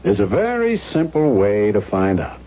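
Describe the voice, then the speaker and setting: An actor playing a blunt, impatient police lieutenant questioning witnesses in a 1970s radio drama. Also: male voice, fairly low pitch, huge pitch swings, moderate pace. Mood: brisk, insistent. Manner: blunt, probing. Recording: dull old radio recording.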